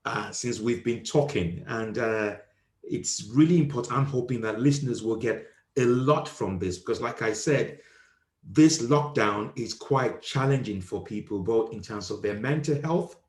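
Male podcast host, put-on British accent, grandiose and formal with deadpan delivery, recorded in a roomy, echoey space. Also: mid-range voice, normal speed. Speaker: podcast host in comedic character as a self-styled president. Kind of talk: deadpan comedic interview. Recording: roomy echo.

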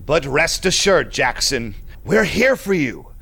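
A man's voice: a little high, very animated, takes it slowly, gravelly, sharp tone, husky, full character voice. A man playing a shady, condescending vampire businessman in an audio drama, with an unctuous, theatrical, confiding manner. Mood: smooth, assured. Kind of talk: audio drama acting with narrated asides.

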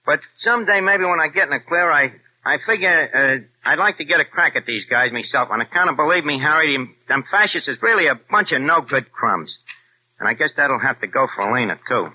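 A man's voice: on the high side, animated, fairly quick, slight background noise, lightly acted. An actor playing a wisecracking American crook with slangy tough-guy talk and hesitant fillers, in an old radio drama. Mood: confiding. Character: wisecracking American double-agent crook, streetwise. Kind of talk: 1940s radio acting. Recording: dull old radio recording.

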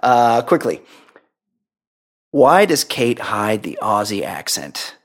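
A woman's voice: rumbling low, sing-song. A woman co-hosting a podcast who reads out listener emails and teases in a wry, joking way. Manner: wry, teasing, quick jokes. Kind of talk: casual chat.

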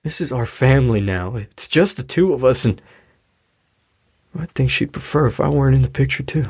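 A troubled middle-aged father in a naturalistic audio drama. His voice is husky and downcast, with halting delivery, captured close and dry. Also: mid-range voice, lively intonation, no echo. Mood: weary, pleading. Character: weary, troubled, frustrated widowed father of a teenager.

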